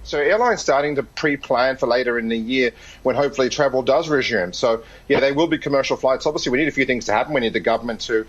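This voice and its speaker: A travel reporter speaking in a brisk, casual way. His voice is husky and quick. The sound is dull, as if coming over a remote video link. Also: mid-range voice. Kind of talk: live news cross.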